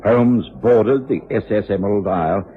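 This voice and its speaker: An actor playing a genteel English gentleman who narrates a detective story in a vintage radio drama, articulate and measured, with an English accent. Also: fairly low pitch, slight background noise, close to an everyday voice. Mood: composed, recounting. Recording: tinny old radio recording, music behind.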